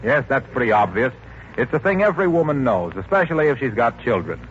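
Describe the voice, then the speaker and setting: A man's voice: medium pitch, big pitch swings, fast. A man announcing in polished, persuasive style, reading scripted advertising for 1940s radio. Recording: dull, faintly noisy 1940s radio recording.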